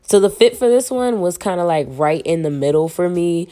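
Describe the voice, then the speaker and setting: A young woman, hoarse from a cold, chatting casually to viewers as she walks through a wig install. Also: fairly low pitch, animated, moderate pace, dark tone. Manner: casual and chatty, slangy, addresses viewers as y'all. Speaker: beauty vlogger. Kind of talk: casual wig tutorial chat.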